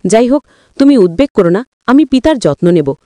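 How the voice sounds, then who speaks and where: A woman voicing a gentle, dutiful young daughter-in-law in a story, soft-spoken and patient. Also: fairly high pitch, sing-song, brisk, no room sound, voice pushed down.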